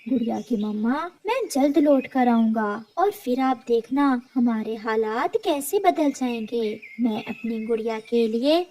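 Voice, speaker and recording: A woman's voice: a little high, a little slow, hardly any pauses, mellow, pitched below the performer's own voice. A woman voicing a loving, hopeful father bird in a children's cartoon.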